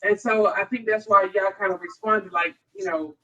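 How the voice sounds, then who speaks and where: A woman's voice: mid-range voice, steady tone, normal speed, sharp tone, distant mic, muffled recording. An American woman on a scripture study panel, talking conversationally and checking in often, picked up without a microphone of her own. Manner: conversational, frequent check-ins with listeners. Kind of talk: casual Bible discussion. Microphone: picked up from across by another's mic.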